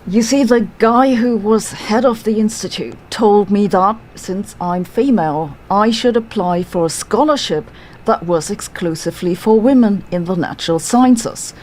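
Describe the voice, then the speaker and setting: A woman's voice: medium pitch, measured pace, darkish tone. A middle-aged woman with a German accent who reads a scripted personal story in a dry, sardonic, matter-of-fact way. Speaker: physicist telling her story. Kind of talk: scripted first-person narration.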